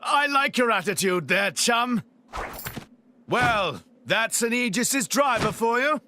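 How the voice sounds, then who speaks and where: A man's voice: very high-pitched, expressive, slow, a little hiss or hum, exaggerated voice. A man voicing a cocky, flamboyant crown prince and swordsman in a video game, boastful, teasing and theatrical. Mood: playful, teasing. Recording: loud music under dialogue.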